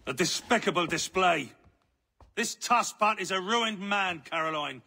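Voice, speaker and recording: Snooty disapproving dad in a game, gravelly creak, high pitched, big echo. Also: male voice, a little slow, pushed and bright, full character voice. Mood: scornful. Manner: haughty, contemptuous. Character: scornful, disapproving father looking down on his son-in-law. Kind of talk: dramatic video game acting.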